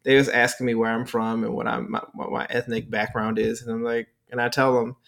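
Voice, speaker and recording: A man's voice: mid-range voice, level tone, a little fast, vocal fry, fairly bright tone. Blunt, dry-talking man in casual chat.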